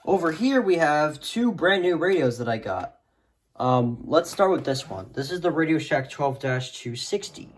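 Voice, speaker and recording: A man's voice: on the high side, animated, normal speed, slight background noise. A man casually presenting a collection in a hesitant, rambling way that often trails off. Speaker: video creator showing a radio collection. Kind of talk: casual collection showcase chat.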